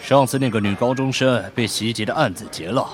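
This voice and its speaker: A man's voice: mid-range voice, a little fast, soft background music, lightly acted. A man playing a businesslike senior police detective in an audio drama, giving a plain, matter-of-fact briefing.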